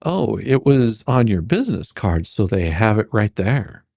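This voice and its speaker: A performer doing a comedic dramatic reading as a rambling, halting, faux-folksy lawyer questioning a witness in a deposition. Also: medium pitch, very animated, creaky, dark tone, no room sound, heavily acted. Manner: halting, repetitive, mock-sarcastic, stumbling over questions.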